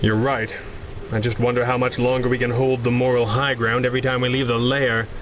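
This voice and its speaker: A man's voice: mid-range voice, little pitch movement, fairly quick, background noise, natural voice. A man acting an unflappable, wealthy masked hero in a 1930s-style radio drama, with dry, genteel wit. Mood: grave, measured.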